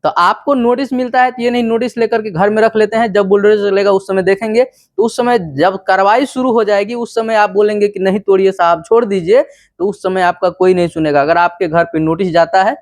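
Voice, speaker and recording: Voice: medium pitch, fairly even intonation, a little fast, no room sound. A Bihari-accented presenter with a mid-range voice, recorded dry and free of room sound. The delivery is that of an informal news explainer, moving between reading aloud and plain commentary. The listener is addressed directly and taught step by step. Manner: didactic, repeatedly addressing the listener.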